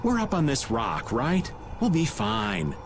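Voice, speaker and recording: High-pitched goofy whining older adventurer. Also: male voice, wildly swooping pitch, a little slow, creaky, music in the background, full character voice. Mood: wry, uneasy. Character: goofy, whining middle-aged man, comic slacker adventurer. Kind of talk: anime video game dub acting.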